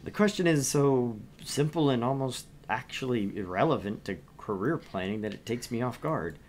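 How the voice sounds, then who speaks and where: A man's voice: medium pitch, animated, normal speed, slight background noise, close to an everyday voice. A man reading as a bemused, earnest young job seeker who narrates their thoughts in a visual novel. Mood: taken aback.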